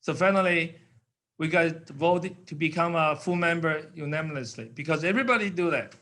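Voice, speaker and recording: An older man from Taiwan speaking English with a Taiwanese accent, in simple, halting sentences with fillers, as an interview guest. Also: a little high, bright, forceful tone. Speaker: guest, company founder.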